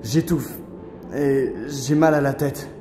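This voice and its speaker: A man's voice: medium pitch, fairly even intonation, slow pace, lightly acted. A man acting an agitated, bitter young condemned brother in an audio drama. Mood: anguished. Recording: echoing hall under loud music.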